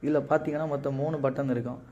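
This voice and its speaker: A man's voice: a little high, steady tone, racing, warm tone, a little hiss or hum. A man casually explaining a product and how to use it, as its seller. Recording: slightly muffled, dull audio.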